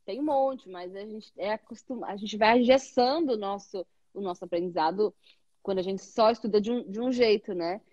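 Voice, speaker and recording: A woman's voice: mid-range voice, moderate pace, no echo, highs cut off. Chatty Brazilian woman, dry close sound. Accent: Brazilian Portuguese. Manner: chatty and animated, many tag questions. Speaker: English teacher hosting. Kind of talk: casual conversation.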